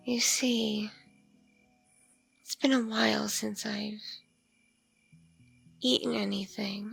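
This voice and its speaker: A woman playing a seductive, hungry mature vampire mother in an intimate ASMR roleplay, teasing and condescending, breathy and slipping into whispers. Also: mid-range voice, fairly bright tone, exaggerated voice. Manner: slow, teasing, hesitant hums and pauses.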